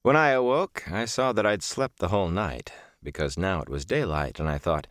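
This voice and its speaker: Low-voiced man narrating an audiobook. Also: expressive, moderate pace, fairly bright tone, no room sound, studio quality. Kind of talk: scripted first-person literary audiobook narration.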